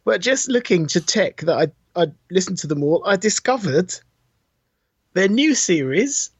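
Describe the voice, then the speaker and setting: A man's voice: high-pitched, sing-song, measured pace, husky, no room sound. A man chatting casually and enthusiastically as a podcast co-host, with informal, emphatic delivery. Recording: dull, muffled lo-fi audio.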